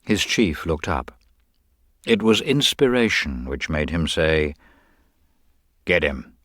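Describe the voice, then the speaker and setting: Deep-voiced male storyteller, expressive intonation. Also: slow pace, no room sound, studio quality. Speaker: narrator. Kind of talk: scripted literary narration of a detective story.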